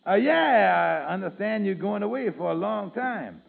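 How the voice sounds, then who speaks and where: Amos, a high, gravelly good-natured friend. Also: male voice, very animated, moderate pace, full character voice. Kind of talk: 1940s radio comedy acting. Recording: tinny old radio broadcast recording.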